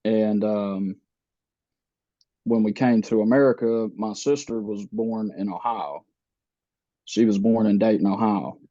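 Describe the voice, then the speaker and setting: Thirties guy talking real slow, mellow low voice, lots of ums, kinda muffled dry sound. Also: dry recording. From Philippines, raised in the US. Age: 30s. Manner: slow, halting, many fillers and restarts. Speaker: podcast guest. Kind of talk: casual interview, telling his life story.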